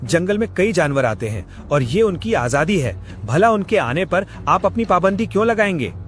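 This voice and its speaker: Man as cartoon bear, higher male pitch, wary, protesting, music clearly behind. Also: very animated, moderate pace. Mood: indignant. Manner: protesting, questioning. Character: objecting bear, outspoken and wary. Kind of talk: Hindi animated moral story acting.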